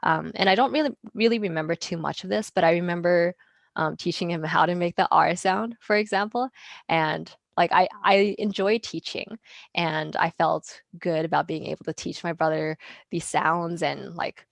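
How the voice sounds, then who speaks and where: A woman's voice: fairly low pitch, normal speed, creaky, dry recording, clean studio sound. A young woman, originally from Taiwan, chatting as an interview guest about her work as a speech language pathologist, with lots of ums and likes. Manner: chatty, many ums and likes, light laughs. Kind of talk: casual interview conversation.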